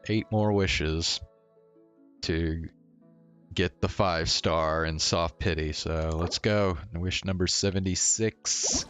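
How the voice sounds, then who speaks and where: Slow, low-voiced man streaming a game. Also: fairly even intonation, fairly bright tone, clean tone. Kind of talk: casual live gameplay commentary. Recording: music behind the voice.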